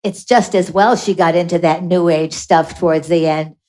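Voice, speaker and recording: A woman's voice: on the low side, steady tone, normal speed, a little mellow, natural voice. A woman playing a bossy, exasperated little angel in a radio play, grumbling with sarcastic exclamations. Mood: brisk, grumbling. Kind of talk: live radio play acting.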